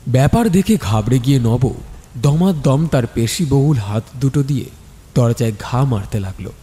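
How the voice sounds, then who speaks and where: Male storyteller, medium pitch, dark relaxed tone, dramatic scripted narration with a long reverberant tail. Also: moderate pace. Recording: long studio reverb, music behind. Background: background music.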